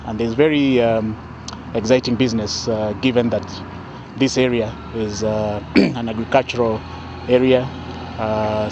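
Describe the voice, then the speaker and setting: A middle-aged Kenyan man speaks outdoors with clear site and vehicle noise around him. His voice sits at an average pitch, and he delivers an official statement in a formal, measured and halting way. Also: highs cut off. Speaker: airport authority official giving a press statement. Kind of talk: off-the-cuff media briefing. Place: outdoors at an open construction site.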